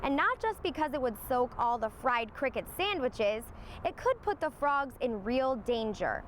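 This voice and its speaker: A woman narrates a children's storybook expressively. Her voice sits high and rises and falls a great deal. There is clear noise in the background. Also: a little slow. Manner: expressive read-aloud. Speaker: storybook reader, meteorologist.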